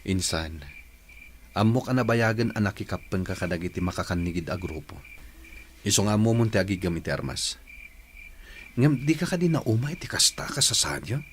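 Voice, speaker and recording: Man acting concerned village brother, low voice. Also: lively intonation, normal speed, long pauses, slight background noise, lightly acted. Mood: earnest, concerned. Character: concerned village older brother sheltering his rebel cousin. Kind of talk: Ilocano radio drama acting.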